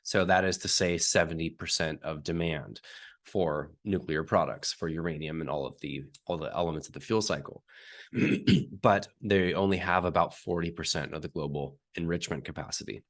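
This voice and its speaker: A man with a hoarse, raspy voice from being sick, explaining technical detail step by step as an interview guest and often clearing his throat. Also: low-pitched, normal speed. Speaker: uranium market analyst guest.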